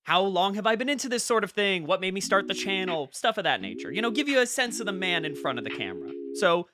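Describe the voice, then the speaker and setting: An excitable man in his late twenties hosting a conspiracy channel in an audio drama. His delivery is chatty and wry, with emphatic, swooping pitch and a paranoid edge. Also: fast talker, background noise. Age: late 20s. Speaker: host of a conspiracy video channel. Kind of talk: scripted audio drama monologue as a casual vlog.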